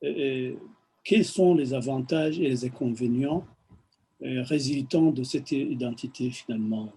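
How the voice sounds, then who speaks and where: A man interviewing an author, asking his questions haltingly with many pauses. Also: medium pitch, mellow, a little hiss or hum, poor audio.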